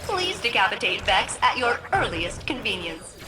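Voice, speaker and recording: A woman's voice: mid-range voice, big pitch swings, moderate pace, lightly acted. A woman voicing a cheerful, eager game ship computer with precise, formal technical phrasing. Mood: chipper, precise. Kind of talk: video game character dialogue. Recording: music behind the voice.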